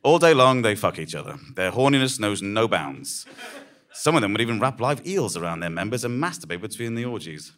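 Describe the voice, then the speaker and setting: A man with a fairly low voice narrates a story on a small theatre stage. He delivers scripted, dramatic prose in a measured, deadpan way.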